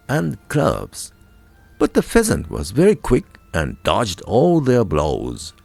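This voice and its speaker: A man with a Japanese accent narrating a folk tale from a script, in a deliberate, expressive storybook manner with dramatic emphasis. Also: fairly low pitch, creaky, no echo. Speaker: storyteller, radio voice crew narrator.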